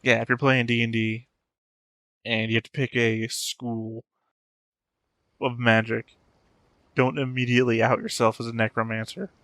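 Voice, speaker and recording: A man's voice: mid-range voice, level tone, normal speed, pauses often, a bit bright, no echo. A man giving casual commentary as he hosts a reaction to a show. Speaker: reaction channel host.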